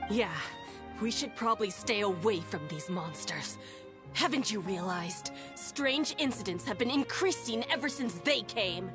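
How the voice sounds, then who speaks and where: A woman's voice: mid-range voice, expressive, a little slow, close to an everyday voice. A woman voicing a hostile, accusing townswoman. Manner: accusing, sharp. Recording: game audio with loud background music.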